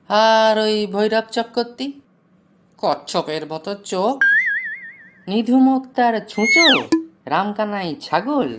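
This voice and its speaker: A woman acts out a meek village man in a cartoon. He sounds hesitant and easily bewildered, wondering aloud to himself. Music plays behind the voice. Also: mid-range voice. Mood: hurt, indignant. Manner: hesitant, wondering, self-muttering. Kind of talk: cartoon voice acting. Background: background music.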